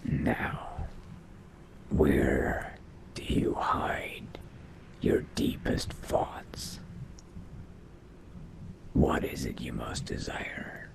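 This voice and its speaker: Video game vampire mage, played by a man: cold, hungry, brooding. Very slow, whispered, gravelly musing to himself. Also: steady tone, heavily acted, low-quality recording. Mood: sated, scheming. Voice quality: low gravelly breathy whisper. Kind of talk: in-character video game roleplay monologue.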